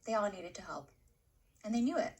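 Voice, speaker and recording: A woman's voice: medium pitch, expressive, a little fast, darkish tone, close to an everyday voice. A young woman student actor playing a farmworker's daughter who recalls a hard-working childhood in an oral history dramatization. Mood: reminiscing. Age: young adult. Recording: distant microphone.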